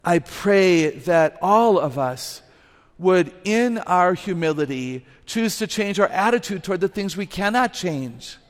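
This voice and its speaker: A woman with an unusually low voice gives a lively, humorous talk to a live audience through a microphone. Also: unhurried. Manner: lively, humorous, emphatic, laughs at herself. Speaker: guest speaker and author. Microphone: microphone on a stage. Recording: live talk with long hall reverb.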